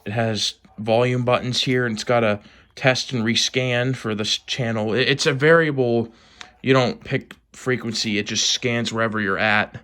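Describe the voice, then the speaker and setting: An American man giving a casual show-and-tell as a hobbyist vlogger, with a hesitant, trailing, low-key delivery. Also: steady tone, moderate pace, a bit bright. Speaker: hobbyist vlogger showing a collection.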